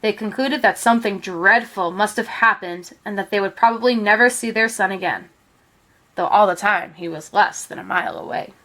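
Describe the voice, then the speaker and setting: A woman reading a children's picture book aloud, with expressive, deliberate storytelling phrasing. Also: medium pitch. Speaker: storybook reader.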